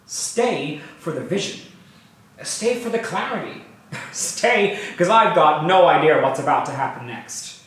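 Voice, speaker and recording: High-pitched, glib tech founder, played by a man, echoey. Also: animated, a little slow, fairly bright tone. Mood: glib, sardonic. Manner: showy, sardonic, flustered asides. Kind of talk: theatrical monologue, staged reading. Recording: very echoey room, distant mic.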